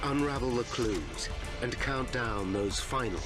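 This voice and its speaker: A British man narrates a disaster documentary in a dramatic, scripted style. His mid-pitched voice sits over loud music, with booming effects behind it. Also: unhurried.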